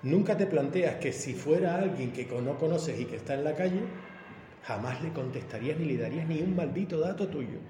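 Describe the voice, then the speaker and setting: A man's voice: fairly high pitch, animated, brisk, mellow. A man in his fifties, a police officer who gives talks in schools, pressing a young guest with pointed, leading questions in a debate. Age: 50s. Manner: challenging, leading questions, emphatic. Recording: echoey hall with faint noise.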